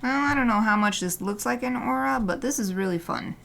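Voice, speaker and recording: A woman artist chatting casually during a livestream as she paints, rambling and often dropping to a soft murmur, with light laughter. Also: fairly high pitch, brisk, gravelly. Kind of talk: casual chat while painting.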